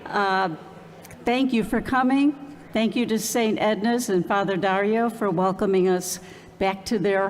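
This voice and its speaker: Older woman, event organizer; slow, measured welcome on a podium mic, long church reverb. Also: medium pitch, darkish tone. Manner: measured and unhurried, with fillers. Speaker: event organizer introducing the speaker. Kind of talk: formal welcome and introduction.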